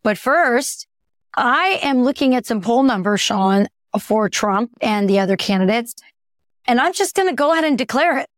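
A woman co-hosting a casual political chat podcast, hoarse and raspy from a cold, lively and quick to laugh. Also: a little high, no echo, clean studio sound. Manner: lively, laughs easily, asks her co-host questions. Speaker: podcast co-host.

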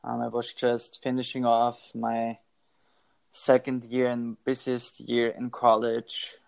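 A man, a podcast guest answering in a calm, measured way. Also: medium pitch, vocal fry. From Austria.

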